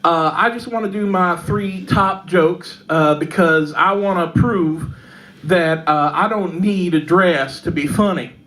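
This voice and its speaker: A woman with a Texan accent performing a stand-up set in a pub comedy club, delivering dark jokes deadpan, with lots of fillers and little laughs at her own lines. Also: on the low side, measured pace, slight background noise, poor audio. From Texas. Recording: live club mic, loud audience laughter.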